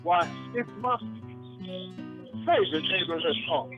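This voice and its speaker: A genial, wry storyteller of yesteryear acted by a man narrates a radio play in a folksy, theatrical way. His fairly high voice swings widely, over a thin phone-like line with music behind. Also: lively intonation, a little slow.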